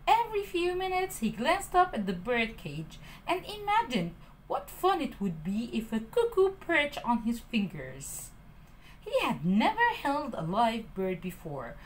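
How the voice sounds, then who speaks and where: Storyteller woman, slow and very expressive, a little high, children's read-aloud. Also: slight background noise, poor audio. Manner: animated, addressing children.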